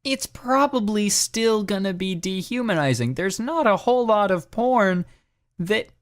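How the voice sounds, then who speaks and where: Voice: mid-range voice, animated, measured pace, no room sound, studio quality. A podcast co-host giving a dramatic reading and comic chat, sounding exasperated and groaning over a bad text.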